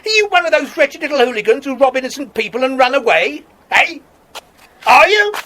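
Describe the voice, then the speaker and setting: A woman's voice: high-pitched, big pitch swings, fairly quick, a bit bright. A woman playing a stern, suspicious adult in a children's audio drama, scolding with indignant authority. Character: stern, scolding, suspicious grown-up catching a boy.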